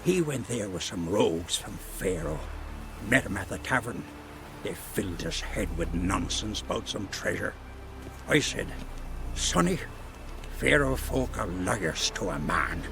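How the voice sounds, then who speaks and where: Creaky-voiced, animated village father. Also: lowish voice, measured pace. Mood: fretful, fond. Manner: folksy, emphatic. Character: plain-spoken village father, fretful and fond of his son. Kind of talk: video game dialogue. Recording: music behind the voice. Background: game music.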